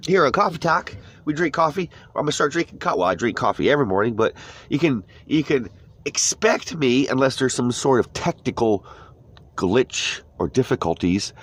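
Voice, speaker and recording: A man's voice: a little high, a little hiss or hum. Stuffy, laid-back man chatting. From United States. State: stuffy nose. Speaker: live stream host. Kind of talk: casual morning chat. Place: inside an old camper van. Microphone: phone.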